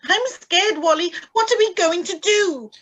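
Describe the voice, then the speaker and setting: An actress playing a frightened, fretful wife in an old-style radio thriller, anxious and pleading. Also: female voice, extremely high pitch, unhurried, a bit bright. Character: frightened, anxious young wife under siege. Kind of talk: 1940s-style radio drama acting. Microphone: video call. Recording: noisy, clicky home video-call audio.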